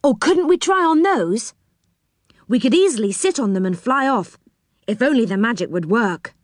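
Storybook boy, read by a woman: eager, adventurous, raised pitch, animated delivery. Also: unhurried, no room sound, studio quality. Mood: getting excited.

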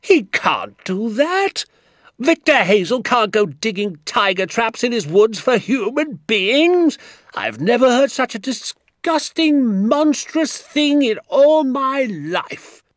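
A man voicing an excitable little old country doctor in an audiobook, kindly and indignant, with emphatic, drawn-out, theatrical delivery. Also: medium pitch, breathy edge, no room sound, studio quality, voice pushed up, heavily acted. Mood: outraged. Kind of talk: acted audiobook dialogue.

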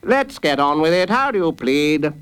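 A man voicing a brisk, officious court clerk in a storybook. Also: on the high side, sing-song, a bit bright, smooth, voice pushed up.

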